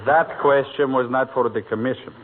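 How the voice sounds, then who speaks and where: A man's voice: mid-range voice, fairly even intonation, moderate pace, slight background noise, close to an everyday voice. Radio comedy barber, played by a man: foreign accent, rambling, earnest, mangled English, narrow vintage broadcast sound. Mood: chatty, self-assured. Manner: rambling, mangled grammar and malapropisms. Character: talkative immigrant barber, earnest and self-assured. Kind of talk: 1940s radio comedy acting.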